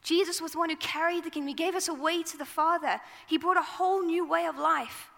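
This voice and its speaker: A woman with a South African accent preaching a sermon into a microphone, telling the story in a relaxed, conversational way. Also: very high voice, quick pace, gravelly, big-room sound.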